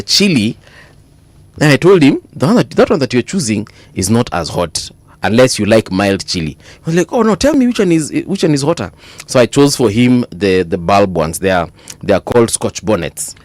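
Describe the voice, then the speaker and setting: Lively Kenyan man telling a story on radio. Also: medium pitch, normal speed, no echo. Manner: animated storytelling, mixes Swahili into English, laughs often. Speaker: radio co-host. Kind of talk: casual on-air banter. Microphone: studio microphone.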